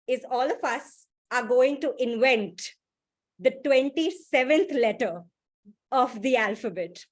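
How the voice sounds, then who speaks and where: Woman, fairly high voice, online workshop host, brisk, friendly, lots of ums and uhs, video call. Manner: brisk, friendly, uses many fillers. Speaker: workshop facilitator.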